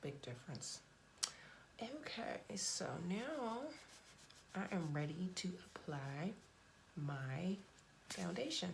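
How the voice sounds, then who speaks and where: A woman chatting casually through a makeup tutorial at home, blunt and chatty, her voice hoarse and cracking. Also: lowish voice, big pitch swings, takes it slowly, pauses often, slight background noise. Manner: chatty, blunt, frequent fillers and restarts. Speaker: beauty vlogger.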